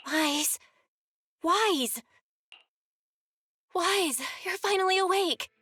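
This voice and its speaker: A woman's voice: extremely high pitch, extremely slow, bright, forceful tone, a little hiss or hum, full character voice. A woman voicing a caring young woman who checks on her sibling in a concerned, chatty way. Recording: game cutscene with faint music.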